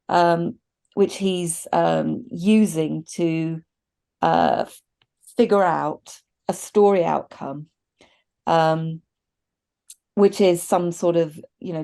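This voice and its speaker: Middle-aged female podcast co-host, relaxed dark tone, slightly low register, reflective and chatty, discussing informally. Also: gravelly. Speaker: podcast co-host reviewing films. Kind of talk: casual discussion and commentary. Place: indoors at a desk, video call with virtual backdrop.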